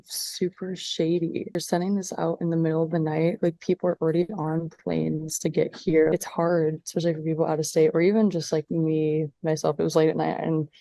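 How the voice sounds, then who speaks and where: A woman's voice: sits low, little pitch movement, a little fast, vocal fry, hardly any pauses, warm tone, no room sound. A young woman, a student, talking casually in an interview. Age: young adult.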